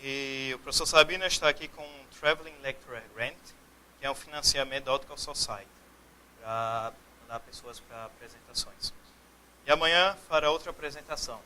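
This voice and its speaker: A man acting as host, reading a formal introduction of a guest speaker in a brisk, formal manner. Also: medium pitch, sharp tone, a little hiss or hum, low-quality recording.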